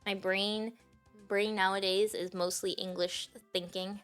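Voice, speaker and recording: A woman's voice: mid-range voice, little pitch movement, measured pace, a bit bright, smooth. Japanese-native woman streamer just chatting, lots of "like" and restarts, faint music in the back. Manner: rambling, many fillers and restarts. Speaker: livestreamer. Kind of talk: casual stream chat.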